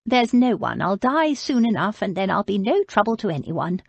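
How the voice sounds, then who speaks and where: Frail old aristocratic lady, tart and haughty, higher, lively, breathy delivery, voiced by a woman narrator. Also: moderate pace, no echo, dull audio, heavily acted. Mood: proud, forlorn. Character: frail proud elderly aristocratic lady, sharp-tongued.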